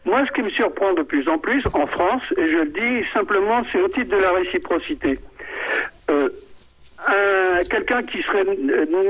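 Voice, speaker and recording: A man's voice: sits high, breathy edge. Older male radio caller, muffled line. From Morocco. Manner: hesitant, many fillers and restarts. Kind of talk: call-in radio debate. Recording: muffled phone call on radio.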